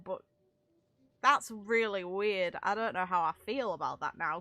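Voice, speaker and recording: A woman's voice: mid-range voice, fairly bright tone, dry recording. A woman streaming a game with casual live commentary, rambling as she thinks aloud and swearing mildly.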